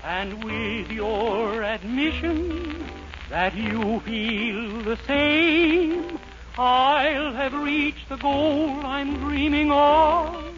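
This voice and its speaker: Slow high sentimental ballad singer, female. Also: music in the background. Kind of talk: sentimental 1940s popular ballad singing. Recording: muffled old radio broadcast.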